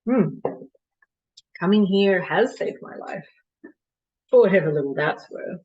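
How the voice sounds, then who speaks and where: A woman performing a stage monologue as a disillusioned colonial mission doctor, bitterly candid and deliberate, with dramatic pauses. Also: fairly low pitch, darkish tone, dull audio. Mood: wry, probing.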